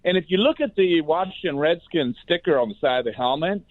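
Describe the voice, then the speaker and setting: A man's voice: fairly high pitch, expressive, brisk, no room sound. Rambling man on a phone-like line. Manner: rambling, anecdotal, colloquial. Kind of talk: casual opinionated chat.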